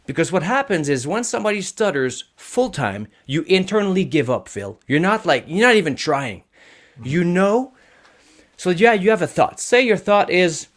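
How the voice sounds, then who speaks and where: Animated man telling a story. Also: fairly high pitch. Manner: energetic, animated storyteller who restarts sentences. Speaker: podcast guest, public speaking coach. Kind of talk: casual storytelling in an interview.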